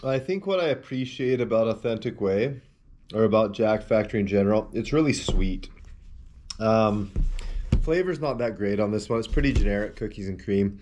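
An easygoing man in his forties chats through a product review to a desk camera. His voice sits a little low, and he rambles while handling the tub and shaker in a small room. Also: animated, moderate pace, darkish tone, slight background noise. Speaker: protein powder reviewer. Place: small indoor room with shelves of supplement tubs. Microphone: camera at a desk, wide framing.

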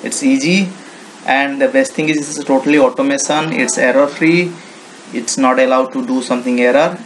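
Indian-accented man, halting tutorial talk, noisy recording. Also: mid-range voice, fairly even intonation. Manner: halting, repetitive, non-native English phrasing. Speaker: software tutorial presenter. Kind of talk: informal screen-recorded software walkthrough. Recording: noisy home-made screen recording.

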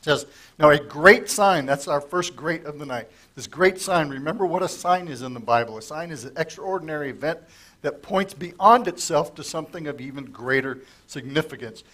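Middle-aged pastor teaching scripture at a lectern, voice going hoarse, kinda high for a guy, chatty with the congregation. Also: animated, moderate pace, sharp tone. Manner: conversational, asks the congregation questions. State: losing his voice, coughing. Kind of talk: sermon, verse-by-verse Bible teaching. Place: church sanctuary with stage.

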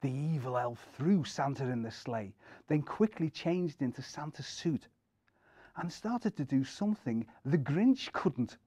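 A middle-aged man reading a children's story aloud in an expressive storytelling style. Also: medium pitch, no echo, studio quality. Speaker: story reader.

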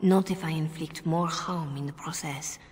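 Composed, low-voiced priestess played by an actress. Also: female voice, steady tone, darkish tone, in a large hall, lightly acted. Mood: calm, grave. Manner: measured, formal. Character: composed, wry, worldly middle-aged revered mother of a chantry. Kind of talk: dramatic game dialogue. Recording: faint background noise.

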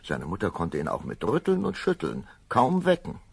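A man with a deep, gravelly voice narrating a fairy tale for a children's audio play. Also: huge pitch swings, moderate pace, dry recording.

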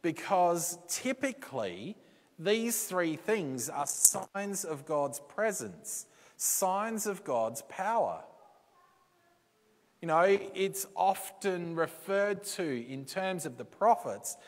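A man preaching a sermon in a church, slow and deliberate, with dramatic pauses and quotes he acts out. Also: sits high, sing-song. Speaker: pastor. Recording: reverberant church hall.

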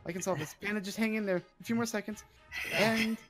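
A man's voice: high-pitched, steady tone, fast. A young man improvising as a student mage in a Minecraft fantasy roleplay, urgent and repeating himself. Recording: loud music over the voice.

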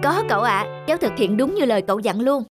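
High-voiced, polite young nephew played by a woman. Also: expressive, fast talker, natural voice. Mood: earnest. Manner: polite, earnest. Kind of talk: cartoon dub. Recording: loud music behind the voice.

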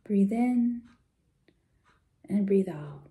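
A woman leading a guided visualization in a soft, gentle, soothing way, unhurried with pauses and at times breathy. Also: mid-range voice, animated. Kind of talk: guided meditation, soft slow instruction.

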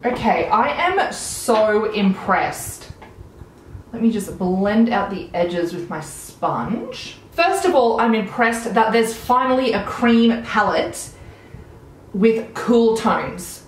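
A woman's voice: medium pitch, animated, takes it slowly, roomy sound, low-quality recording. A woman in her thirties with an Australian accent, chatting enthusiastically through a makeup tutorial at home. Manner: chatty, enthusiastic product commentary while applying makeup. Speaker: beauty vlogger. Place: small room at home, indoors.

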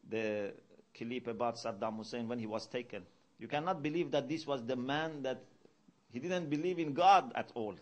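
A middle-aged man giving a religious lecture in a mosque hall. He teaches earnestly, quotes Arabic verses quickly and gets louder to stress a point. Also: medium pitch. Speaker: Islamic lecturer.

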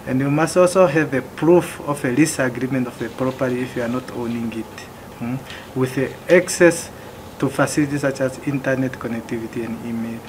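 A man in his thirties from Namibia, calmly going through customs warehouse rules one by one, with some restarts. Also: mid-range voice, brisk, slight background noise, rough recording. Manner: plain, methodical, listing items with occasional restarts. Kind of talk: explanatory presentation. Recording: music playing behind.

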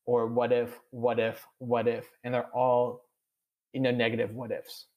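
A man's voice: medium pitch, completely flat delivery, measured pace, narrow, tinny audio. A young man with a strong stutter, answering podcast interview questions candidly, with many repeated sounds and long strings of "uh". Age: young adult. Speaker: podcast guest.

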